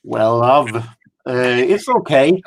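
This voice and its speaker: A man from Sweden speaking English with a Swedish accent, chatting casually on a video call with frequent pauses and fillers. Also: mid-range voice, lively intonation, slight background noise. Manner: hesitant, halting with many fillers. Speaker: chat guest.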